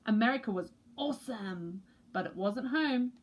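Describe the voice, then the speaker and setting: A woman reading a children's picture book aloud with expressive narration. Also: fairly high pitch, normal speed. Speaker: storybook reader for a school.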